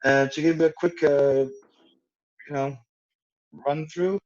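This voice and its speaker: A man's voice: fairly high pitch, steady tone, slow pace, darkish tone. A middle-aged man from Denmark, chatting casually as a guest on a video call, rambling with many fillers and restarts. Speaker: guest, rum brand owner. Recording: dull lo-fi video call audio.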